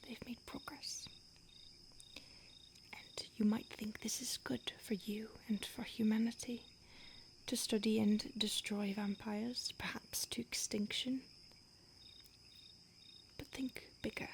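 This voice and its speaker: A woman voicing a starved, conflicted female vampire who stalks and craves her listener, speaking in a soft intimate whisper. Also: on the high side, fairly even intonation, slow pace, long pauses. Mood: grim, confiding. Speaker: audio roleplay performer. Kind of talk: whispered audio roleplay.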